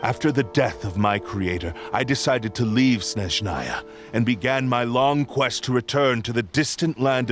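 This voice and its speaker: Ruthless cursed sword spirit. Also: male voice, mid-range voice. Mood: scornful. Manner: cold, proud, menacing. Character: arrogant sentient cursed sword possessing a man, male, ruthless. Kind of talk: video game voice acting. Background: game background music.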